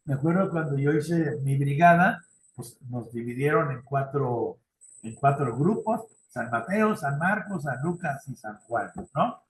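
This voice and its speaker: A man's voice: mid-range voice, animated, unhurried, roomy sound, a little hiss or hum, rough recording. A middle-aged man with a Mexican Spanish accent leading a youth ministry training session, talking conversationally with frequent tag questions and personal anecdotes. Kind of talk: online formation talk. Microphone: video call.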